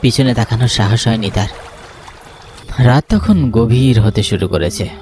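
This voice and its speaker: A man's voice: medium pitch, a little slow, noisy background. A man narrating a scripted ghost story. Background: background music.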